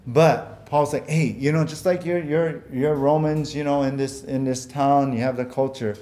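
A man's voice: mid-range voice, fairly even intonation, moderate pace, dark tone. A man preaching a sermon as pastor, earnest and conversational, often repeating words and using fillers, whose first language was Japanese.

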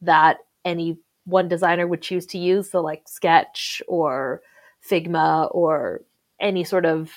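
A woman from the Philippines, raised in Sweden, talking as a UX designer guest in a casual interview, speaking in an articulate, measured way with careful hedges. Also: lowish voice, fairly even intonation.

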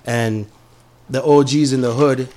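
A middle-aged man, a musician being interviewed, telling stories in a relaxed, slangy way and often adding "you know what I mean". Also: medium pitch, measured pace. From Toronto, Canada. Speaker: musician guest. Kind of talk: casual interview storytelling.

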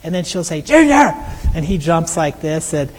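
A middle-aged male missionary preacher with a soft, high voice that is often taken for a woman's, telling stories in a conversational sermon with frequent restarts. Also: big pitch swings, moderate pace. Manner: conversational storytelling with repeated restarts. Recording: long hall reverb.

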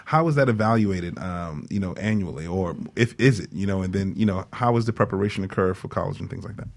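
A man's voice: sits low, quick pace, gravelly. A man hosting a casual radio interview, asking questions in a conversational way. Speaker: talk show host.

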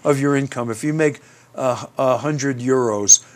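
A man's voice: medium pitch, a little slow, a little hiss or hum. A man from the United States hosting a conversational interview, talkative and emphatic, steering with leading questions. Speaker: interview host.

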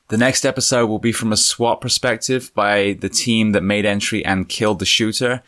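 A man with a fairly low voice hosts a podcast interview from a home. He talks in an earnest, measured way and sometimes restarts his sentences. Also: a bit bright. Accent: British. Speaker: podcast host.

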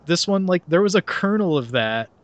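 A man's voice: high-pitched, lively intonation, moderate pace, lo-fi sound. A young man hosting a podcast, chatting casually and reading a summary aloud with sarcastic asides, often laughing mid-sentence. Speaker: podcast host.

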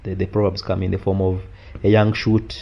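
A man's voice: sits low, level tone, a little fast, dark tone, highs cut off. A man from western Uganda with a Ugandan accent, giving a reflective personal account as an interview guest.

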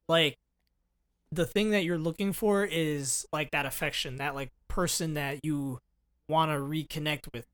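Co-host role: young American man, fairly high voice, blunt, slangy, loose conversational delivery that circles back on itself. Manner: slangy, blunt, repeats and rephrases himself. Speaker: podcast co-host. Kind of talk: casual chat.

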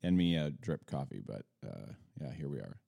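A man hosting a podcast in a casual morning chat, groggy and halting, laughing often and restarting his phrases. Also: rumbling low, very animated, creaky, warm tone, no room sound, clean studio sound. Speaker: podcast host.

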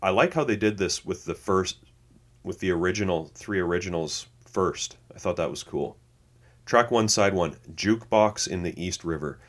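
A man's voice: low voice, moderate pace. A man co-hosting a podcast, chatting casually, reading notes aloud and laughing easily. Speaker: podcast co-host.

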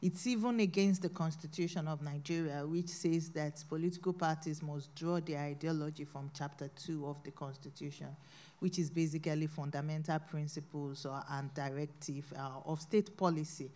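Low-voiced woman on a panel. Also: steady tone, normal speed, dark tone. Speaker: panel speaker. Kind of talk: panel discussion.

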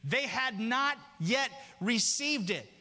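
A male pastor preaching a sermon in a church, chatting casually with the congregation, reading scripture slowly, and climbing higher and louder as he gets worked up. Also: animated. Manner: reads scripture slowly and deliberately. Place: church sanctuary. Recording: long hall reverb.

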